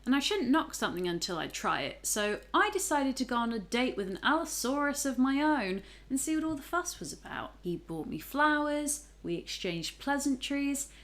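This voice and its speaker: A woman reading aloud and commenting as a casual vlog host, with a wry, sarcastic manner. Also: fairly high pitch. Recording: echoey room.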